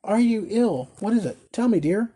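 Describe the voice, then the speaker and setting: Man reading as earnest husky carrier husband. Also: on the high side, moderate pace, warm tone. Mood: alarmed, tender. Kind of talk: storybook character reading.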